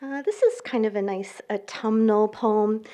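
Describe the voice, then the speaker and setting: A woman poet reading her work aloud at a live event, with measured pacing and careful pauses between lines. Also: medium pitch, warm tone. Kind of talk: poetry reading with spoken introductions.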